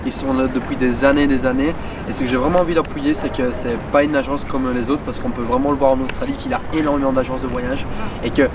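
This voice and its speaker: A man's voice: mid-range voice, fairly even intonation, fast talker. A man interviewing travel agency owners, talking with eager enthusiasm and leaning on the word "vraiment" again and again. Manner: enthusiastic, repeats "vraiment" often. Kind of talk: casual interview. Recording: distant mic with loud outdoor noise.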